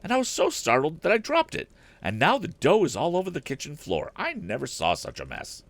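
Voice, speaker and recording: A man's voice: on the high side, wildly swooping pitch, a little fast, no room sound, pitched above the performer's own voice, heavily acted. A man voicing a flustered, motherly older housekeeper who frets and complains in a fussy, dramatic way for a storybook reading. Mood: fretful, flustered.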